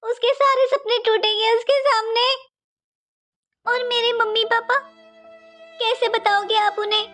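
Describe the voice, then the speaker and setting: Woman acting very shrill-pitched indignant young daughter-in-law. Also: a bit bright, heavily acted. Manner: accusing, dramatic, rhetorical questioning. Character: indignant young daughter-in-law defending her jilted sister. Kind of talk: Hindi cartoon family melodrama voice acting.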